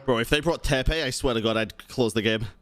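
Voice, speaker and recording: A man's voice: medium pitch, brisk, a bit bright. A young man who streams games, reacting casually and live with exclamations and swearing. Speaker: game streamer. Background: video game music.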